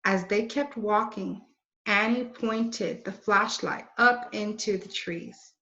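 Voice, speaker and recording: A woman reading a children's book aloud in a deliberate, expressive way. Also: medium pitch. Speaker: read-aloud storyteller. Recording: roomy, dull lo-fi recording.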